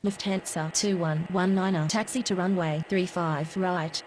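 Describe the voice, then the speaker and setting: A woman's voice: fairly low pitch, fairly even intonation, measured pace, darkish tone, slight background noise, close to an everyday voice, poor audio. A woman playing a businesslike airport radio operator in a simulator game, with clipped, formal, scripted phraseology. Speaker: air traffic radio voice.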